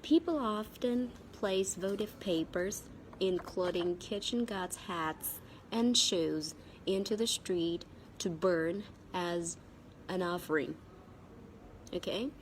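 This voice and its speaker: A Vietnamese-accented woman with a cold and sore throat gives a spoken English vocabulary lesson as a teacher, haltingly and repeating key words. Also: lowish voice, slight background noise, low-quality recording.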